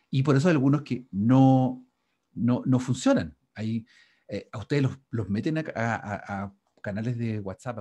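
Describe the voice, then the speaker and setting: A male university lecturer teaching over a video call. His voice is husky and mellow. He talks loosely, restarting phrases and tossing questions at his students. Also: lowish voice. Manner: rambling, frequent restarts and fillers, asks the class "¿ya?". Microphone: video call microphone.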